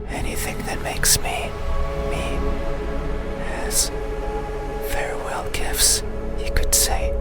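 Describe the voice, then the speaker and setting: A man voicing a lonely, curious creature in a horror game, whispering slowly and broodingly in a hushed, deliberate monologue. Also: natural voice. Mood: regretful. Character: lonely, curious, creeping creature stalking a sleeper.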